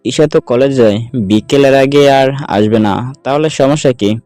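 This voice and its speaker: A man's voice: medium pitch, a little slow, a little mellow, no echo, soft background music, lightly acted. A man playing an easygoing young newlywed husband in an audio story drama. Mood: teasing.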